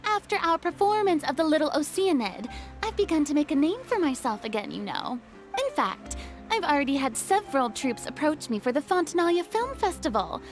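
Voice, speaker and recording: Proud young stage diva for an anime game: high, lively delivery, haughty yet easily flustered. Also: female voice, brisk, soft background music. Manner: dramatic, haughty, with flustered hesitations. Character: theatrical, proud young woman, a former star turned director. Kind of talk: anime video game voice acting.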